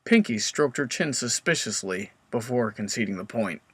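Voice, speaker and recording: A man's voice: medium pitch, animated, moderate pace, fairly bright tone, husky, no room sound. A man reading scripted story narration as the narrator of a comic tale.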